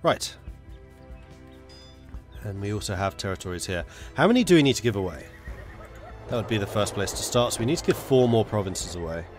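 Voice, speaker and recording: A man's voice: lowish voice, expressive, brisk, pauses often, music in the background. Stuffy-nosed man muttering through a strategy game. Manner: chatty, muttering to himself while planning. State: congested from a cold. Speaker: gaming streamer. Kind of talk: casual live gameplay commentary.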